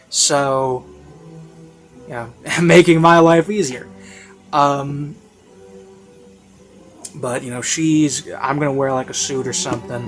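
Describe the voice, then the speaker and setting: A man giving a casual, unscripted review in a rambling, hesitant way, often interrupting himself. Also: on the high side. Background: classical instrumental music.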